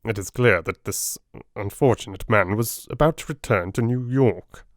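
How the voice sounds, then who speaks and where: A man playing a stolid Victorian police inspector in an audiobook, deliberate and matter-of-fact as he reports. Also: on the low side, dry recording, studio quality, lightly acted. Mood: methodical.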